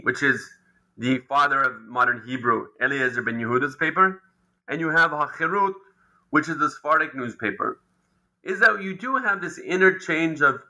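Bright-toned male historian explaining. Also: mid-range voice, poor audio. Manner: thoughtful, explanatory, with frequent ums and restarts. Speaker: historian and lecturer. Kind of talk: academic interview answer.

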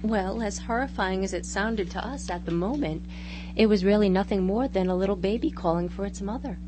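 A woman playing an earnest small-town mother in a live radio drama, calmly explaining and reassuring like a storyteller. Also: mid-range voice, a little fast, natural voice. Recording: hum and loud background noise.

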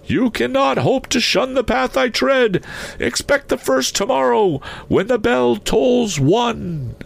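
A man voicing the anguished ghost of a dead businessman in a storybook reading, mournful and declamatory, with drawn-out solemn words. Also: extremely high pitch, expressive, gravelly, voice pushed up, heavily acted. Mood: ominous.

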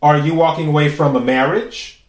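A man with a raspy voice hosting a podcast, giving a casual, chatty tarot reading and musing aloud with drawn-out pauses. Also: a little high, steady tone. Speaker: tarot reader and podcast host. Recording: roomy echo.

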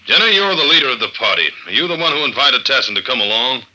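A man's voice: mid-range voice, very animated, fast, sharp tone. A man playing a tough, blunt, sardonic investigator in an old-time radio drama. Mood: hard, commanding. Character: tough, hard-boiled male investigator, dry and commanding. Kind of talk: old-time radio drama acting with first-person narration. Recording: noisy old radio show recording.

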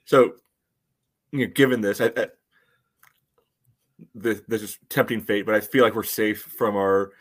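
A man co-hosting a soccer fan podcast, chatting casually in a halting, filler-heavy way. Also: on the low side, steady tone. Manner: halting, peppers talk with "you know". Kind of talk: casual sports chat.

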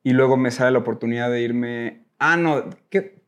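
Mexican guy getting interviewed, medium pitch, casual storytelling, rambles a bit and corrects himself. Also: moderate pace, clear, resonant tone. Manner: informal, self-correcting, rambling. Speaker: interview guest.

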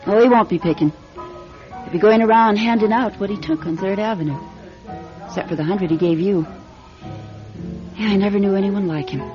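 A woman's voice: medium pitch, fairly quick, long pauses, natural voice. An actress playing a sly young tavern woman who puts on sweetness, in an old-time radio drama. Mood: confiding. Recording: dull lo-fi radio show, faint music.

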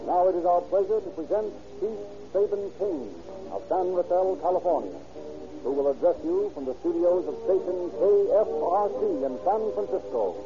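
A formal male announcer delivers scripted lines in deliberate 1930s radio fashion. His voice sits fairly high. The old broadcast sounds dull, with clear music behind him. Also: expressive.

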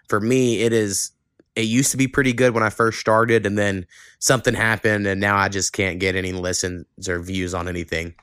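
A man with a fairly deep, smooth voice talks casually as a podcast host. The sound is dry and close, with no room on it. He keeps it conversational and unscripted. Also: fairly bright tone, no echo. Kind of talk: casual chat.

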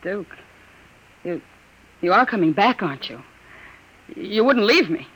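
A woman's voice: medium pitch, sing-song, unhurried, fairly bright tone, husky, full character voice, poor audio. A woman playing an anxious, wary gangster's moll in an old-time radio drama, hesitant and fearful, on a vintage recording. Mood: reluctant, pleading. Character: jittery, fearful female moll, torn and wary.

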